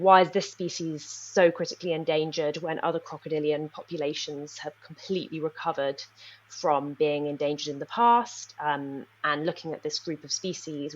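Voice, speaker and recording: Young woman researcher from Nepal, deep voice, slightly muffled audio. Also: slightly rough. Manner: thoughtful, many ums, talks fast in bursts. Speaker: researcher, guest. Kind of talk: podcast interview.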